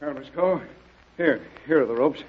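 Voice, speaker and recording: Theater prop man: plain-spoken, eager, fairly high voice, radio drama on a dull lo-fi recording. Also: brisk, slightly rough. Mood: helpful. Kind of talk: radio detective drama acting.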